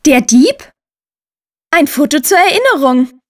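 A woman playing a friendly, upbeat teenage girl in a dubbed video game, with an earnest, expressive delivery. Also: high voice, a little slow. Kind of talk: German video game dubbing.

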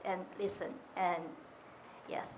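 Woman from taiwan, slow hesitant intro, faint room noise. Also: fairly low pitch, level tone, darkish tone, low-quality recording. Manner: hesitant, plain self-introduction. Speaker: law student, audience member. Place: large lecture hall.

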